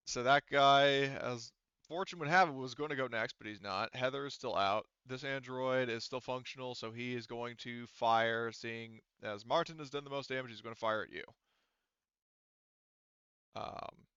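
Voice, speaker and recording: A man narrating and calling rules as game master of a tabletop roleplaying game, in a casual, halting way with trailing thoughts. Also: mid-range voice, fairly bright tone, clear, resonant tone, no room sound, highs cut off.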